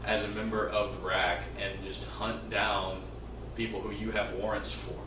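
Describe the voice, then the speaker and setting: A man's voice: on the low side, little pitch movement, moderate pace. A man in a casual, unscripted discussion as a video co-host, with a dry, wry way of talking. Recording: roomy, distant mic, noisy background.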